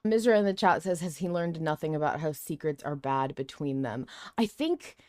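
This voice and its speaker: A woman with a fairly low voice hosts a casual discussion show. She talks informally, thinking out loud as she goes. The sound is dry, with little room in it. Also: expressive, normal speed, dry recording. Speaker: podcast host. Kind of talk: casual discussion of a fiction podcast.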